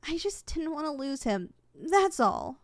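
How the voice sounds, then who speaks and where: A woman's voice: sits high, very animated, unhurried, no room sound, clean studio sound, full character voice. A woman voicing a young slime succubus in training, affectionate and competitive, in a sweet, coaxing ASMR roleplay style that is easily flustered. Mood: flustered, earnest.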